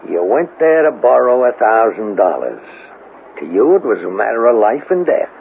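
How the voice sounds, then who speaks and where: A tinny, hissy old radio recording of a tough American male detective. He is gravelly and insistent, swinging widely in pitch as he needles and presses his suspect with menacing patience. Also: sing-song, normal speed. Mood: pressing. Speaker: actor in a radio drama. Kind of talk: 1970s radio drama acting.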